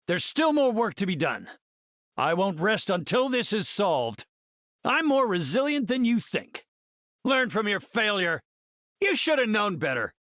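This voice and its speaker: A heroic middle-aged man of science from a superhero video game. His pitch sits a little high and moves widely as he speaks. He is formal, articulate and earnest, in a close, dry studio sound. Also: pushed and bright, no room sound, exaggerated voice. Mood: urgent, commanding. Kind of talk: scripted game character voice lines.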